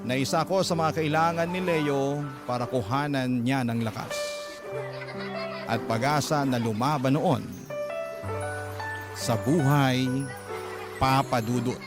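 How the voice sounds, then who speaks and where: A man reading a personal letter as dramatic, scripted first-person storytelling. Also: a little high. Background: music.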